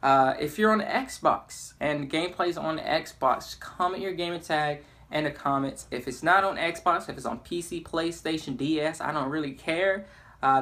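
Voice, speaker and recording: A young man chatting casually and informally in a rambling storytelling style, as a gaming YouTuber. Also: on the high side. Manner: informal, rambling, acts out quotes excitedly. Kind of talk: casual storytelling over gameplay.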